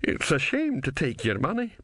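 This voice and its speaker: A pack of petulant philosophers bickering over bets, all put on by one male reader. The voices climb high and jump around excitedly. Close, dry sound. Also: normal speed, no room sound, heavily acted. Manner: petulant and squabbling. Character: squabbling grown male philosophers, petulant bettors.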